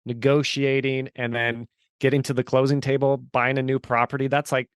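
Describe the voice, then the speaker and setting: A man's voice: medium pitch, little pitch movement, normal speed, clear, resonant tone, no room sound, studio quality. A man of forty, a guest in an interview, telling his story in an earnest, emphatic way. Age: 40s. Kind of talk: casual interview chat.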